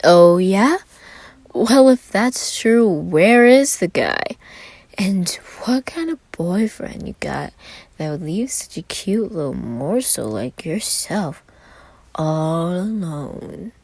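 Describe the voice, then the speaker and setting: A woman's voice: lowish voice, animated, takes it slowly, a little mellow, dry recording, exaggerated voice. A woman voicing a huge, pushy drunk man with a slurred drawl in an audio story. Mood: leering.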